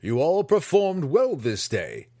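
A man voicing a snarling dark elf weapons master. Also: fairly high pitch, huge pitch swings, breathy edge, voice pushed up, full character voice. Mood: commanding. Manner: snarling, shouting, drawn-out words. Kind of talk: audiobook character voice.

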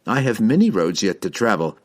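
Man voicing a cool formal lady wizard, measured, husky read, storybook. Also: fairly low pitch, sing-song. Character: composed formal female wizard.

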